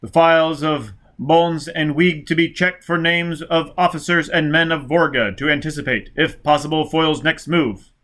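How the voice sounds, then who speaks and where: An urgent official dispatcher acted out by a man, pushed higher than his natural register. He gives orders in clipped, commanding bursts, like a bulletin in an audiobook. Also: steady tone, normal speed, a bit bright, close to an everyday voice.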